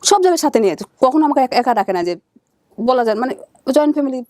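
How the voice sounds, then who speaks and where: A woman with a Bangladeshi accent recounting personal life as a guest, halting and repeating herself as she goes. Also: high-pitched, lively intonation, darkish tone, dry recording, clean studio sound. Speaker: guest searching for birth family. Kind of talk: emotional personal recounting in conversation.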